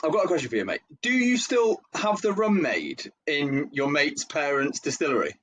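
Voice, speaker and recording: A man co-hosting a podcast, chatting excitedly and calling his guest "mate" a lot. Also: fairly high pitch, normal speed. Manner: excitable, peppers talk with "mate". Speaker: podcast co-host. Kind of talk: casual podcast conversation.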